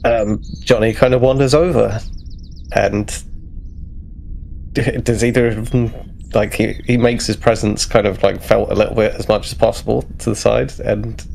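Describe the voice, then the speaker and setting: A man's voice: fairly low pitch, fairly even intonation, normal speed, vocal fry, darkish tone, slight background noise. A man playing a tabletop roleplaying game, casually improvising his character's actions and searching for words.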